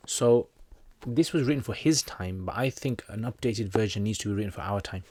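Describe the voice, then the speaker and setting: A man's voice: lowish voice, fairly quick, darkish tone. A man teaching and hosting a livestream, chatting casually with viewers in a jokey, rambling way with slangy asides. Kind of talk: casual livestream chat, answering viewer comments.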